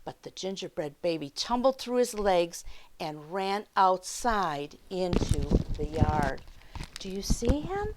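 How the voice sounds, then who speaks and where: A woman reading a story aloud to children, expressive, with chatty asides and questions to her young listeners. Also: fairly low pitch, slow pace, noisy background. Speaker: children's story time reader.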